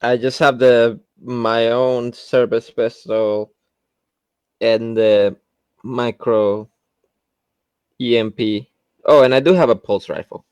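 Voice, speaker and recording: A man with a mid-pitched, very clear voice talks casually as a tabletop game player. He speaks slowly, and the sound is dry. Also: steady tone, a little mellow, no echo.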